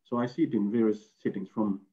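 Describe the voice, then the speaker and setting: Male guest from Fiji, lowish voice, halting and full of fillers, tinny video-call audio. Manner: halting, with many fillers and restarts. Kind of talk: explaining casually on a video call.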